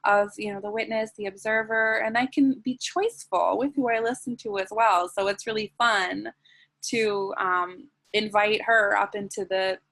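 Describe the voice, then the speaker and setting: Soft-spoken woman guiding gently. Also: mid-range voice, fairly even intonation, normal speed. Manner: soft, flowing, with many "like"s and "um"s. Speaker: guest, sensual wellness teacher. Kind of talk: conversational interview, then guided breathing.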